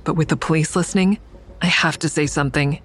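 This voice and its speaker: A woman's voice: deep voice, fairly even intonation, quick pace, a little hiss or hum, natural voice. An actress playing a guarded, sardonic woman in an audio drama, dry and wry in dialogue and first-person narration. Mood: tense narration. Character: guarded, sardonic woman, crisis counselor with secret kills.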